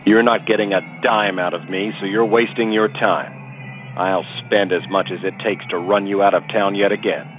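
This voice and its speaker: A very low-voiced, guarded older brother played by a male actor talks curtly and dismissively in an audio soap opera. The recording is narrow and phone-like, with faint music. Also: steady tone, moderate pace, lightly acted. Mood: angry. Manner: curt, dismissive. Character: stern, guarded wealthy older brother, easily riled.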